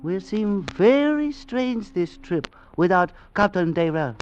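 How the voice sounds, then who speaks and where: High-voiced, wistful ship's cook. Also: male voice, sing-song, heavily acted. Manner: speaks broken English in a slow drawl. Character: ship's cook, islander sailor, simple and wistful. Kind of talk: 1940s radio acting. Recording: muffled old radio recording.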